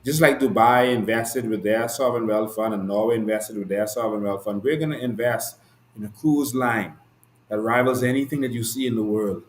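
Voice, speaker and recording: A man's voice: fairly low pitch, fairly quick, roomy sound, a little hiss or hum. A Bahamian man giving passionate, rhetorical political commentary with emphatic repeated declarations. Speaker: host and activist.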